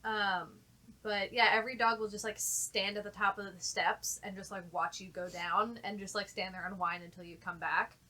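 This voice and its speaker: A woman's voice: medium pitch, fairly even intonation, moderate pace, pushed and bright, rough recording. A woman chatting casually and telling personal stories in a frank, sweary way. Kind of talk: casual chat and personal storytelling.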